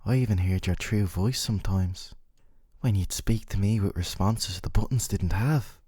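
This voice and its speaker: Man acting as mellow, possessive yandere boyfriend. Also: fairly low pitch, moderate pace, slightly rough, no echo, studio quality. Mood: adoring, smug. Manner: soft, coaxing, articulate, teasing tag questions. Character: possessive, gentle yandere dating-sim boyfriend, doting and smug. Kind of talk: scripted ASMR roleplay monologue to the listener.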